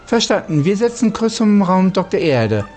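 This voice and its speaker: A very mellow, soft-edged voice reads scripted lines as a starship commander. The delivery is formal and military, with faint music behind it. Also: mid-range voice, big pitch swings, moderate pace.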